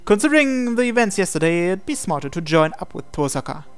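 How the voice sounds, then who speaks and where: A man's voice: high-pitched, sing-song, fast, faint music behind. A man hosting a game video, reading visual novel text aloud and adding his own asides. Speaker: gaming video host.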